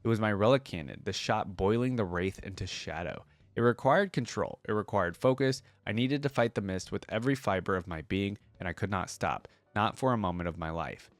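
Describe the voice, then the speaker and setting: A man's voice: fairly low pitch, a little fast, few pauses, dry recording. A man reading a story aloud and chatting casually as a reaction video host.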